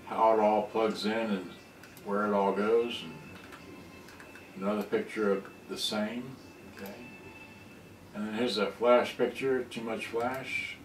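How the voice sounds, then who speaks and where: A man giving casual, off-the-cuff commentary as a repair hobbyist, talking in a rambling, halting way. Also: fairly low pitch. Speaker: electronics repair hobbyist presenting. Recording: roomy, distant mic, faint noise.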